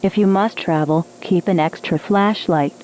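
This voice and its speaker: Dark-voiced woman reading weather alerts. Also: on the low side, moderate pace, slight background noise, low-quality recording. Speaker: weather radio announcer. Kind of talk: scripted emergency weather bulletin.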